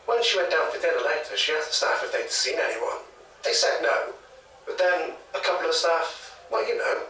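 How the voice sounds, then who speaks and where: Hesitant British local man, chatty and hedging, slightly high bright voice, audio drama interview. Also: very animated, moderate pace, recorded from a distance. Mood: gossipy, guarded. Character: hesitant, gossipy local man retelling a secondhand ghost story. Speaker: interviewee, former hotel worker. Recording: echoey taped interview, off mic.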